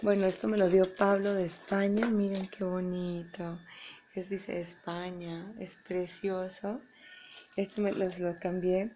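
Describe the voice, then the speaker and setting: A woman in her thirties from Peru, chatting casually and softly to her phone, tired, with her voice going. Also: lowish voice, flat delivery, slow, a little hiss or hum. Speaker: pilgrim. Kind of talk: casual vlog. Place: hotel room, indoors. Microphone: phone camera at arm's length.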